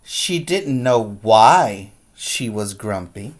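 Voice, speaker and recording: A teacher reading a picture book aloud to preschoolers, stressing the story words and pausing to explain them to the children. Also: medium pitch, lively intonation.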